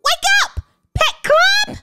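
A woman voicing an excited young girl detective in a storybook read-aloud, with a high, squealing, eager voice. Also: slow pace, loud music behind the voice.

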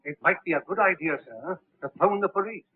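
A discreet old butler in a 1930s film. His deferential voice is fairly high and a little rough, heard through a thin, band-limited soundtrack. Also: fairly quick, narrow, tinny audio. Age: older.

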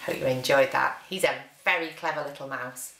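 A woman, a teacher reading a children's story aloud with dramatic, deliberate phrasing. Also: very deep voice, gravelly, recorded from a distance, noisy background. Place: living room, indoors. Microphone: camera a short distance away. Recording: roomy living-room echo.

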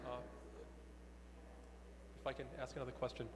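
Casting: male audience questioner, medium pitch, long room reverb. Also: little pitch movement, moderate pace, a little hiss or hum. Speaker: audience member asking a question. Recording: echoey hall with long reverb.

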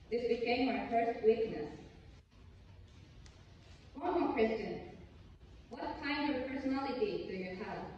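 A young Japanese woman with a Japanese accent giving a memorized speech at a lectern on an auditorium stage, earnest and a bit halting. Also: fairly high pitch, a little mellow, a little hiss or hum. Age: young adult. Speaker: student speaker. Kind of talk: memorized speech contest talk. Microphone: lectern mic, filmed from far in the hall. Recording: distant, echoey hall, dull sound.

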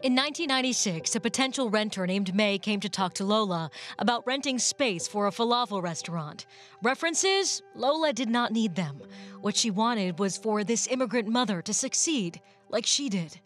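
A woman reporter narrating a scripted local television news story in a measured, polished way. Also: medium pitch, lively intonation, fairly bright tone, soft background music.

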